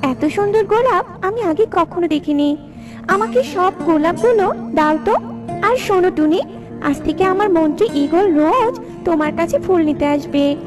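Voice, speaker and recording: A woman's voice: extremely high pitch, lively intonation, moderate pace. A woman voicing a gracious, commanding parrot queen in a children's cartoon. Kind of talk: children's cartoon character dubbing. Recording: music behind the voice.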